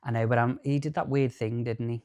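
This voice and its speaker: A man in his thirties co-hosting a podcast, chatting in an animated, campy way. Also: mid-range voice, a little fast, clean tone. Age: 30s. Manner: animated, chatty, camp asides. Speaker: podcast co-host. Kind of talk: casual chat.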